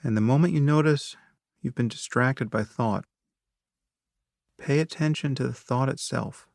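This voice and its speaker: A man narrating a guided meditation in a measured, instructive manner, speaking in short phrases with long pauses between them. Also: medium pitch, gravelly, darkish tone, no room sound, studio quality.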